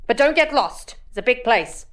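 A woman voicing a cheeky young reporter in an audio drama, with a lively, teasing delivery that climbs a little high. Soft music sits behind her. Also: close to an everyday voice. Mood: playful. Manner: teasing, playful, quick-witted. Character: cheeky young female journalist.

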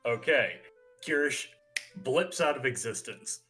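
A man's voice: mid-range voice, expressive, a little slow, sharp tone, faint music behind. A man chatting casually as a player in a tabletop roleplaying game, joking and swearing freely. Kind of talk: casual chat while playing.

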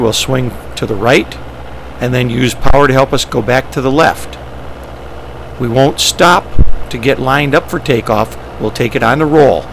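Male pilot-presenter, medium pitch, creaky voice, plain instructional delivery in short phrases. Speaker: pilot presenting ski flying. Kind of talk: instructional narration, explaining step by step. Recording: steady engine and vehicle noise.

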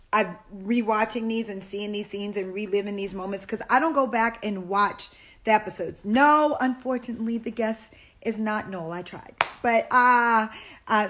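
A woman hosting a casual livestream from her bedroom, chatting animatedly on her phone. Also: on the high side. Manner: animated, exclamatory, gestures a lot. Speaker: livestream host. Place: bedroom, seated on a bed. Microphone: phone on a video call.

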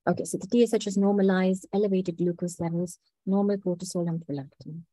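A woman with a hoarse, mellow voice gives a methodical medical talk over a laptop mic on a video call. She speaks steadily, pausing often with small fillers. The sound is dry and close. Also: fairly low pitch, steady tone, dry recording, poor audio. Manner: measured, methodical, frequent "uh" fillers. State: hoarse, recovering from flu. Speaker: clinical research fellow presenting a case. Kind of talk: online medical lecture.

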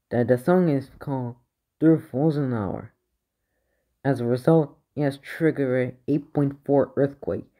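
Male amateur alert announcer, medium pitch, formal bulletin wording with a stumbling, self-correcting delivery. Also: warm tone. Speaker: narrator of fictional emergency alerts. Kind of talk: improvised mock emergency broadcast announcement.

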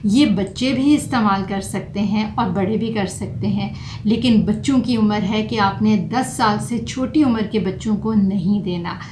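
A woman's voice: medium pitch, normal speed. A middle-aged woman, a doctor explaining home remedies to camera and mixing in English terms. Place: small furnished room indoors. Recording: roomy echo, faint background noise.

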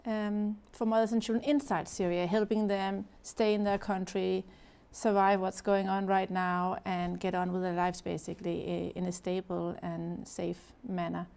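A woman's voice: medium pitch, level tone, moderate pace, gravelly, few pauses, darkish tone, clear, resonant tone. A woman from Denmark with a Danish accent, speaking as a guest in an earnest studio interview, with many fillers and restarts. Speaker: charity founder, guest. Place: TV studio set.

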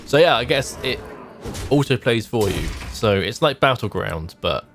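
A man's voice: mid-range voice, animated, moderate pace, a bit bright. Man musing over gameplay, music behind. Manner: musing, thinking aloud in short remarks. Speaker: game streamer. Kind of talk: casual gameplay commentary. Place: small home room, indoors. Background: background music.

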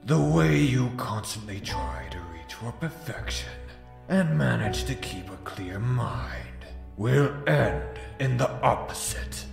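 A man voicing a sinister, gloating dark hedgehog villain in a comic dub, with a gravelly, menacing, theatrical delivery. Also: medium pitch, lively intonation, slow, full character voice. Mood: cold threatening. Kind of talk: scripted fan comic dub. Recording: echoey voice over background music.

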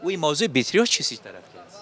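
A young male TV reporter speaks Dari with an Afghan accent. His voice is slightly high and very bright, projected into a handheld mic as he presents and interviews on location. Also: very animated. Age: young adult. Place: open-fronted electronics shop on a market street. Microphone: handheld reporter microphone.

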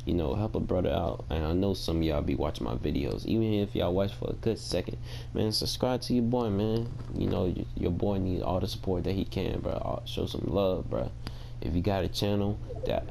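Tired gravelly young man, very deep. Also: noisy background. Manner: laid-back slangy talk to viewers. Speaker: gaming channel host. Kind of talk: casual gameplay commentary. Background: game music.

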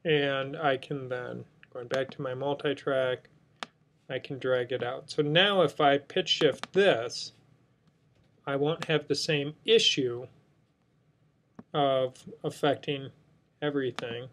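A man's voice: medium pitch, slow pace, long pauses, fairly bright tone, a little hiss or hum. A man narrating an unscripted screen tutorial as an instructor, explaining things step by step. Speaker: software instructor. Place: indoors, screen recording voice-over.

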